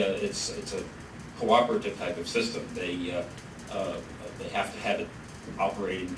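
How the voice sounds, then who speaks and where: Male presenter, halting and filler-heavy delivery, roomy reverberant hall. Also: lowish voice. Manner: halting, many fillers and restarts. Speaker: policy researcher presenting a study. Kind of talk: conference presentation. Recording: echoey room from far off, noisy.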